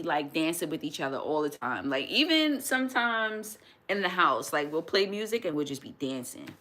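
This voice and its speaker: Chatty New woman, bright voice. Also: deep voice. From New York City, USA. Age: 30s. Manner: chatty, restarts sentences often, laughs easily. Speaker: livestream host. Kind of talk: casual live-stream chat answering viewer comments. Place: at home.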